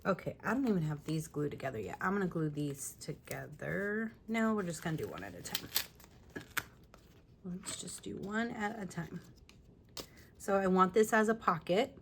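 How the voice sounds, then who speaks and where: A woman with a slightly lowish, raspy voice talks through a paper-crafting tutorial in her home craft room. She chats casually and keeps apologizing in little asides as she works. A faint hum from a fan sits underneath. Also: very animated, moderate pace. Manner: chatty, apologetic asides while working. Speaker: scrapbooking tutorial host. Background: fan running.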